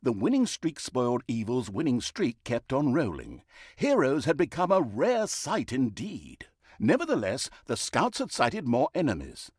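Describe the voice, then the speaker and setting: Grandiose, sardonic game narrator, wildly animated. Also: male voice, medium pitch, a little slow, husky, dry recording, studio quality, full character voice. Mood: storytelling, wry. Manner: pompous, dryly mocking, dramatic emphasis. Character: grandiose, sardonic male storyteller of an evil overlord's deeds. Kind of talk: theatrical scripted storybook narration.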